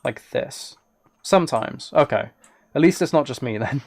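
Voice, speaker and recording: Gravelly-edged man chatting on a livestream. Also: mid-range voice, animated, normal speed, a little mellow. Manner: hesitant, thinking aloud in fragments. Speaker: live streamer. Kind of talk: casual livestream chat while playing a video game.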